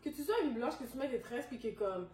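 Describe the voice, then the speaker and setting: A woman's voice: on the high side, expressive, fairly quick. A young woman speaks frankly, leaning hard on her points and dropping in English words. She sits a little away from the mic in a roomy space, with faint music underneath. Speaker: panel guest. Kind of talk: casual discussion. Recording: echoey room, distant mic, soft music.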